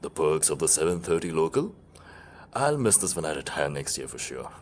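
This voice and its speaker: A man's voice: rumbling low, sing-song, brisk, slight background noise. A man playing a kindly, fatherly older office worker nearing retirement in a radio play. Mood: wistful, chuckling. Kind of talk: amateur radio drama acting.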